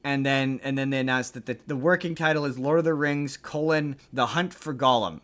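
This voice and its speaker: Man, average pitch, casual podcast host, rambling, opinionated, repeats himself, pop-culture news chat. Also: brisk, fairly bright tone.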